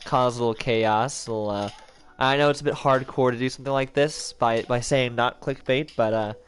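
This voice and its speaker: A man's voice: mid-range voice, steady tone, brisk. Young man rambling with a head cold. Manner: rambling, self-interrupting, joking. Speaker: streamer. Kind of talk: casual livestream chat.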